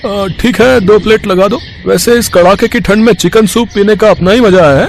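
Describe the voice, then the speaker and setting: A man playing a warm-hearted village man, reassuring and enthusiastic, in an audio story. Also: high voice, moderate pace, gravelly, a little hiss or hum. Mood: appreciative.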